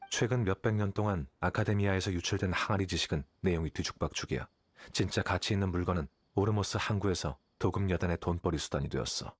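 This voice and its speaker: An actor playing an aloof, calculating young scholar in a video game, speaking in a detached, measured, condescending way. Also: male voice, low-pitched, slightly rough, no echo. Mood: detached, explanatory. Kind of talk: video game character dialogue. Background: game music.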